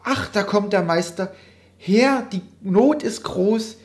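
A woman's voice: mid-range voice, slow pace, lightly acted. A woman voicing a young male sorcerer's apprentice, cocky and easily panicked, in a dramatic poetry recitation. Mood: desperate, panicked. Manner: theatrical, exclamatory.

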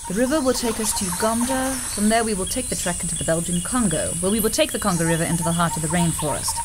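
An actress playing a stern, solemn adventuress guide in a 1930s-style radio drama. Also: female voice, mid-range voice, fast, noisy background, natural voice. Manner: formal, solemn. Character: stern female jungle guide, grave and warning. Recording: loud music bed under dialogue.

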